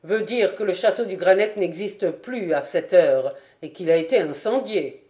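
A woman's voice: lowish voice, normal speed, lightly acted. A woman reading aloud as a loyal male lieutenant who speaks firmly and with dignity. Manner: firm, loud, dignified. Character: steadfast male lieutenant, cool then indignant.

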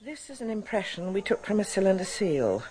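Female actor for capable, anxious academic woman, radio drama, mid-pitched and very expressive. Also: brisk, lightly acted. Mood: matter-of-fact.

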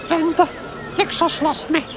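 An actress with a Flemish accent playing a chatty, resigned older village wife in a comic radio drama. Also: female voice, very high voice, fairly even intonation, measured pace, loud music behind the voice, over a noisy crowd. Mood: fond, resigned gossip. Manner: colloquial, gossipy.

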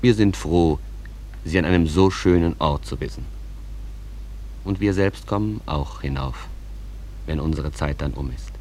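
An actor playing a calm, folksy stage manager who narrates a radio play, addressing listeners in a measured, conversational way. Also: male voice, extremely low pitch, slight background noise. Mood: calm, wistful.

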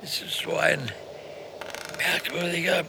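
An actor playing a weary grandfather in a radio drama, with a creaky voice. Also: a little high, steady tone, slow pace. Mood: weary, uneasy. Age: older. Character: weary grandfather, short of breath, resting on a walk. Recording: noisy outdoor radio play scene.

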